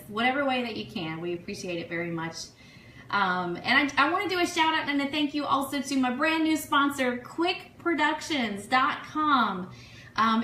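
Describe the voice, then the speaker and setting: A woman with a bright, fairly high voice hosts a casual livestream show. She chats in an effusive, excitable way, gushing through shout-outs to sponsors and listeners. The sound has the echo of a live room. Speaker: online radio show host. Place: room, live social media stream. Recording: echoey room, faint noise.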